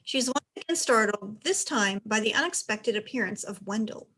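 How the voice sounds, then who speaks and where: A woman's voice: mid-range voice, animated, normal speed, fairly bright tone, husky, low-quality recording. A woman giving scripted narration, reading out the stage directions of a play.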